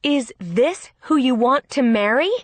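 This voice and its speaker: Woman narrator as an older sister, raised pitch, blunt, forceful, exasperated, protective, arguing, audiobook drama, dry studio sound. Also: expressive, measured pace, dry recording. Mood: shouting, exasperated.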